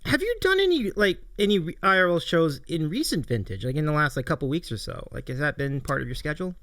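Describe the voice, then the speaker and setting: A man's voice: on the high side, sing-song, fast talker, fairly bright tone, no echo, studio quality. A man hosting a livestream interview show, chatting enthusiastically and effusively, often repeating his phrases. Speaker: live stream show host.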